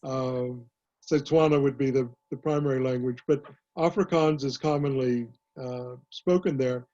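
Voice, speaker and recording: An older man, a historian and author, answering questions in a panel talk, measured and full of pauses. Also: medium pitch, fairly even intonation, warm tone, dull audio. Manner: measured, many "uh" pauses and restarts. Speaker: author and historian, guest speaker.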